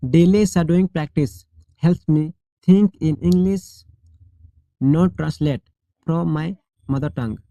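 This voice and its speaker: A male English teacher leading a shadowing drill, reading each model sentence slowly and deliberately, then repeating it clearly. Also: a little high, dark tone, no echo, rough recording.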